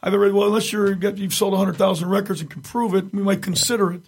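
A middle-aged man with a raspy, husky voice that sits high for a man. He rambles through his stories in loose, casual interview chat, circling back with restarts. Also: fairly even intonation, quick pace. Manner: rambling storyteller, many restarts, frequent chuckles. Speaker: guest, tribute singer.

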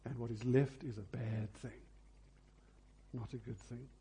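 A man's voice: mid-range voice, steady tone, unhurried, dark tone, slight background noise. A man preaching a sermon, phrasing emphatically and repeating key words for effect.

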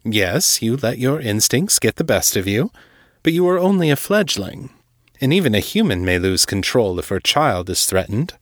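A man voicing a powerful, condescending vampire lord in his fifties, formal and unhurried, in an audiobook drama. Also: medium pitch, big pitch swings, no echo, studio quality. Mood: chiding, reassuring. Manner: formal, unhurried, smoothly chiding.

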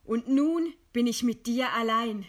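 A woman performs an imperious lady condemned to die, in a literary radio play. The voice sits fairly high. The delivery is formal and composed, with proud defiance in the face of death. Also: unhurried, lightly acted. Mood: bitter, resigned. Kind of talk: radio play acting, stylised literary dialogue.

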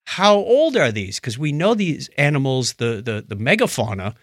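A man's voice: mid-range voice, very animated, moderate pace, dry recording, clean studio sound. A man co-hosting a podcast in a casual, storytelling way, acting out bits of imagined dialogue as he recounts things. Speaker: podcast co-host. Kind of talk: casual chat, recounting documentaries.

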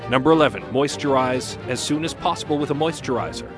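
A man's voice: medium pitch, fast. Guy reading out how-to steps from a script, music going behind him. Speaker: narrator of grooming instructions. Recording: prominent music under the voice.

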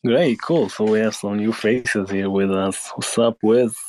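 Slow, flat, mellow-voiced male host. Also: lowish voice, low-quality recording. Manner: halting, repeats words. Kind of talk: casual chat.

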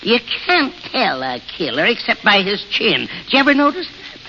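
High-pitched, folksy old American grandpa. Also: male voice, wildly swooping pitch, moderate pace, pushed and bright, heavily acted. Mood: gleeful, boastful. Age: older. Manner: folksy, rustic, chuckling storyteller. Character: folksy, boastful old desert grandpa, full of tall tales. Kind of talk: 1930s-40s radio drama acting. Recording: muffled, hissy vintage radio recording.

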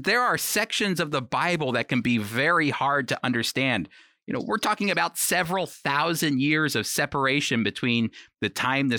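A man with a medium-pitched, forceful voice hosts and teaches on the radio. He talks to listeners directly and earnestly, in a conversational, encouraging style. The sound is dry, with hardly any room in it. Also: no echo. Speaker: radio host and Bible teacher. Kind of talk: conversational Bible teaching and commentary. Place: remote home recording, dry.

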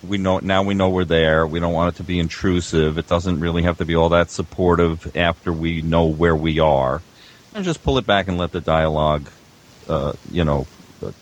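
An older man chatting as a veteran audio producer, explaining things in a halting, rambling way. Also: low-pitched, a little hiss or hum. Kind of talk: casual panel discussion of audio production.

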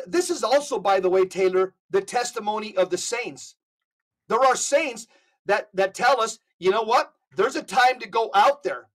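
A man's voice: extremely high pitch, a bit bright. A man speaking as a podcast guest, giving impassioned religious talk in an emphatic, exhortatory way and reading out quotations.

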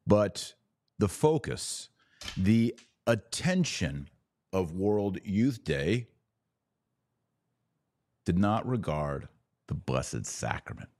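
A man with a low-pitched voice delivers opinionated religious commentary. He takes his time, setting phrases apart and leaning on key words. The sound is close and dry. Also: big pitch swings, darkish tone, no echo. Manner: emphatic, deliberate phrasing with pauses and stressed key words. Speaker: podcast host, religious commentator.

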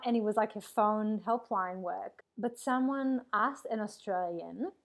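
A woman's voice: mid-range voice, measured pace, creaky. Earnest woman podcast host, non-native accent. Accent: non-native English accent. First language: not English. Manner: earnest, emphatic, opinionated. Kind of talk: casual conversational interview.

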